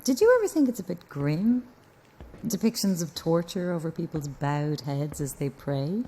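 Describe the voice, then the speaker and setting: An actress playing a blunt, sardonic Irish news reporter in a naturalistic audio drama. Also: female voice, low voice, sing-song, moderate pace, mellow, slight background noise. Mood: curious. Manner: dry, sardonic.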